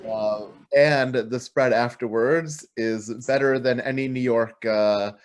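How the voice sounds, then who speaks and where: A man's voice: medium pitch, fairly even intonation, takes it slowly. Man hosting with many fillers. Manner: warm, rambling, many fillers. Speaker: conference host. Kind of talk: casual introduction. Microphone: video call.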